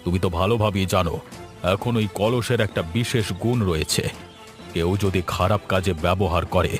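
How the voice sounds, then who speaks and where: A man's voice: fairly low pitch, close to an everyday voice. A man playing a stern village landowner in a fairy-tale cartoon, speaking with authority and reproach as he passes judgment. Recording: music behind the voice. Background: background music.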